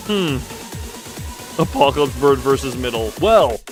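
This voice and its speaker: A man's voice: medium pitch, animated, unhurried. Casual male game streamer over music. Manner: casual, self-interrupting asides to chat. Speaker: live streamer. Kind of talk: gaming stream commentary, casual chat and reading game dialogue aloud.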